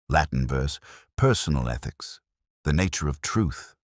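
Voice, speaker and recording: A man narrating a scripted first-person detective story in a measured, literary, reflective way. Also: extremely low pitch, dry recording, studio quality.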